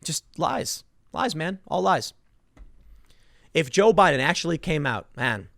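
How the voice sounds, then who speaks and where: A man's voice: fairly high pitch, very animated, a little slow, creaky, a bit bright, dry recording, clean studio sound. A man giving impassioned solo political commentary as a host, emphatic and indignant, repeating phrases for stress.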